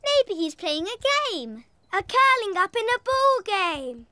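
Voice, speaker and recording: Tiny, very high-pitched cartoon animal. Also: sing-song, measured pace, no echo, full character voice. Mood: eager, playful. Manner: childlike, simple, eager. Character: playful, excitable little farm animal friend, childlike. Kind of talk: preschool cartoon voice acting. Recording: loud children's music behind the voice.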